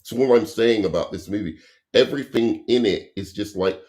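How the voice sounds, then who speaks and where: Very low-voiced man losing his voice. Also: a little mellow. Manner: halting, many restarts and fillers; analytical, explains at length. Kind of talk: casual movie review debate.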